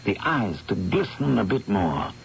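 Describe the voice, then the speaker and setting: Troubled painter, dramatically swooping voice. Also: male voice, lowish voice, sing-song, measured pace, creaky, a little hiss or hum, full character voice. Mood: awed, absorbed. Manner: theatrical, intense, hesitant repetitions. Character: troubled, obsessive male painter, fearful and awestruck. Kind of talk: radio mystery drama acting. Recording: muffled vintage radio broadcast, music underneath.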